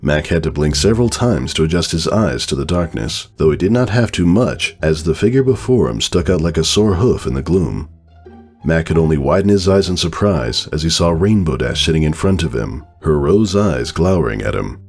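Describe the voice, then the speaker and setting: A man's voice: rumbling low, animated, normal speed, darkish tone, slightly rough. A man narrating a story as a dramatic, scripted reading. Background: background music.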